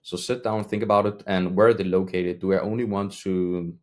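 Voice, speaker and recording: Very deep-voiced man giving a rambling tutorial. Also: fairly even intonation, fairly quick, darkish tone. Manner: loose and rambling, frequent "um" and self-corrections. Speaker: agency owner teaching cold email outreach. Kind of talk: casual tutorial talk.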